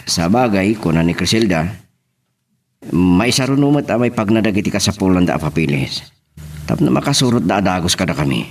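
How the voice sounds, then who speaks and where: Deep, husky man playing an earnest husband. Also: expressive, a little fast. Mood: grateful, earnest. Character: earnest husband and father, burdened but grateful. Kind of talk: Ilocano love-story audio drama acting.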